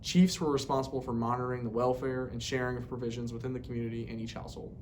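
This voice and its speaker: A man's voice: steady tone, racing, a little mellow. A man reading a scripted educational narration in a formal way, recorded on a poor microphone. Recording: poor mic, clear rumbling background noise.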